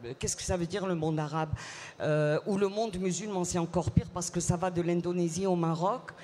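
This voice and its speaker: A woman author in an interview, answering in a reflective, measured way with frequent hesitations and repeated words. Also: on the low side, fairly even intonation, mellow. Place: book fair at a city hall.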